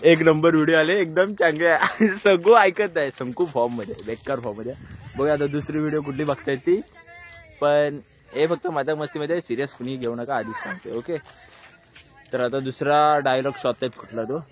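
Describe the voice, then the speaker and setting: Higher-pitched young-sounding Maharashtrian man vlogging. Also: fairly quick. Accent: Maharashtrian Marathi. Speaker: vlogger filming friends. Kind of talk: casual chat and vlog commentary.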